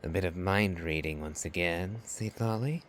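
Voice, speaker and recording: An actor playing a calm, gentle, reserved traveler hero in a video game, speaking softly and with consideration. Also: male voice, low voice, normal speed, a little hiss or hum, natural voice. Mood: questioning.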